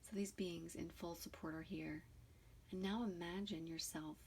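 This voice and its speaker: A woman leading a guided meditation in a soothing, deliberate way, with soft, breathy phrase endings and pauses between short phrases. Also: lowish voice, steady tone, slight background noise.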